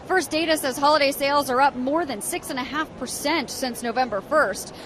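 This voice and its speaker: An American woman narrates scripted business news as a reporter. Her voice is high, and there is faint urban noise behind it. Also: a little fast, low-quality recording.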